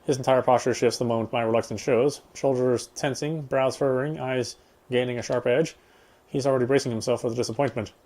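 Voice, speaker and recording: A man's voice: medium pitch, fairly even intonation, a little fast, darkish tone. A man reading game text aloud as a player, in the style of a let's play with casual commentary.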